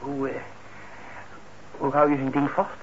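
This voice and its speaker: An actor in a radio play as a shy, eager young working-class lad who stammers and hesitates. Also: male voice, mid-range voice, monotone, a little slow, close to an everyday voice. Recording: dull, noisy old radio recording.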